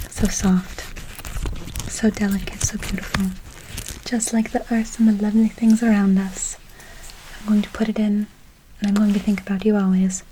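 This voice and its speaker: A woman playing a gentle woodland witch in a whispered ASMR role play, soothing and affectionate, repeating and lingering on her words. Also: mid-range voice, slight background noise, natural voice, rough recording. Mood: tender. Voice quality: soft, breathy whisper. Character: gentle, affectionate woodland witch casting a good luck spell.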